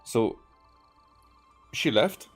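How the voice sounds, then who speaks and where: A man from Hungary, thinking aloud in halting, rambling gameplay commentary and often repeating himself. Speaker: video game streamer. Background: faint game music.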